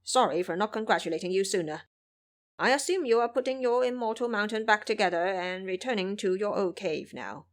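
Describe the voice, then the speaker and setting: A woman's voice: medium pitch, animated, brisk, close to an everyday voice. A woman playing a courteous, hospitable male dragon king of the sea in an audio drama, formal and effusive.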